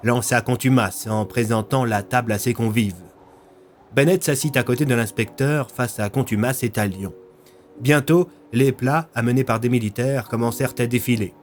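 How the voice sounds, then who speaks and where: A man's voice: mid-range voice, moderate pace, a little hiss or hum. A man narrating a scripted audio story in the manner of a storyteller. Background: faint music.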